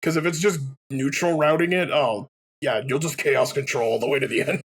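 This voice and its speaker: A man's voice: on the high side, fairly even intonation, a little fast, slightly rough. A man co-hosting a let's play, chatting casually and jokily about games. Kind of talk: casual gaming chat.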